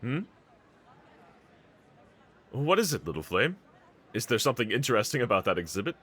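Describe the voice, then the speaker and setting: A man's voice: mid-range voice, big pitch swings, normal speed, a bit bright, husky. A man playing a bold, earnest, reassuring demon slayer swordsman in an anime audio roleplay, speaking with formal, courteous diction. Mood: curious, puzzled.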